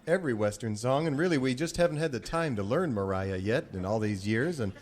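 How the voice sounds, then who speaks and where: A man's voice: medium pitch, animated, a little fast, lightly acted. An actor playing a polite, earnest singing-cowboy host, the folksy straight man of a comic western radio show. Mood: courteous, apologetic.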